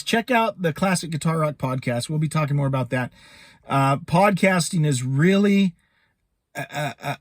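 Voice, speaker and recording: A man's voice: mid-range voice, dry recording, clean studio sound. A middle-aged American man giving a casual, chatty vlog update while sitting in a parked truck. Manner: conversational, chatty. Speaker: YouTube host and voiceover podcaster.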